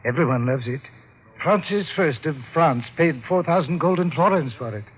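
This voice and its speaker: An actor playing an odd, deferential butler, quietly obsessed and reverent, in an old-time radio drama. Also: male voice, fairly high pitch, expressive, moderate pace, slightly rough. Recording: dull, faintly noisy old radio recording.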